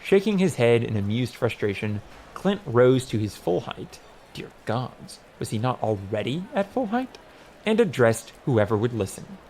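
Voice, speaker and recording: A man narrating a scripted comic fantasy audiobook in an expressive, wry manner. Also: mid-range voice, unhurried, a little hiss or hum, poor audio.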